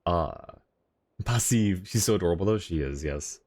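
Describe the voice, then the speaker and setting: A man livestreaming a game with casual, thoughtful commentary as he chats with viewers, often pausing to find his words. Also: low voice, expressive, darkish tone, no room sound. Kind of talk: casual live commentary, answering chat.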